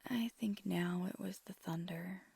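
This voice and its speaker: A woman playing an affectionate, gentle vampire girlfriend in an ASMR roleplay, speaking in a soft, breathy, intimate whisper. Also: on the low side, dry recording, full character voice. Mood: wistful, gentle. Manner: slow, hushed, intimate.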